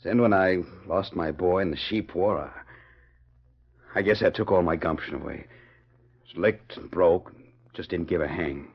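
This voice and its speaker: Weary, husky old cowhand. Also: male voice, low voice, moderate pace, long pauses. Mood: resigned, weary. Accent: American, rural Western. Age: older. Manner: plain-spoken, rueful, resigned. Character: weary older down-on-his-luck cattleman turned outlaw cook, resigned. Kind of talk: 1940s radio western acting. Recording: muffled 1940s radio recording.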